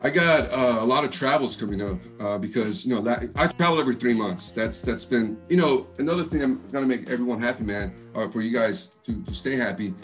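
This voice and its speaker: A man from Texas with a deep voice and an American accent with an East Coast touch, chatting casually in a slangy, easygoing, jokey way. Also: fairly quick, hardly any pauses, fairly bright tone, faint music behind. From Texas, United States. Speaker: hip hop artist, interview guest. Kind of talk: casual chat.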